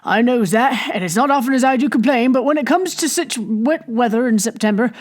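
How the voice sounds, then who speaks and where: A woman voicing a doleful old male villager in his seventies with a rural English dialect and a slow, mournful drawl. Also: on the high side, voice pushed up, lightly acted. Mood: grumbling.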